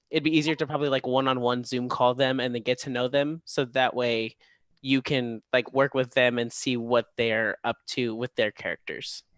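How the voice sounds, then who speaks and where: Man, medium pitch, dry sound, casual chat, halting jokey delivery, video call. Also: a bit bright, no echo. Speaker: actor in a cast Q and A.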